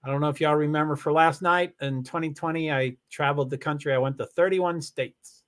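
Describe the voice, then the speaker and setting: A man streaming live from home, chatting casually and rambling excitedly with lots of exclamations and restarts. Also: a little high, a little fast. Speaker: live streamer reading chat. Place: home in Washington State.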